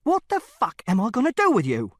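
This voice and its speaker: A man voicing an angry middle-aged father with a volatile temper, speaking low, slow and menacing, with plenty of swearing. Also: sing-song, no echo, clean studio sound, lightly acted. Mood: furious. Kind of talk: audiobook character voice.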